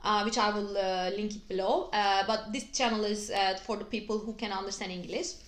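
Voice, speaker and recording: A woman with a Turkish accent, a native Turkish speaker, explaining astrology to her channel's viewers, pausing often on fillers and restarting her phrasing. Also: mid-range voice, steady tone, a bit bright. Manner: hesitant, frequent fillers and restarts. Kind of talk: casual explanatory video. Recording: echoey room.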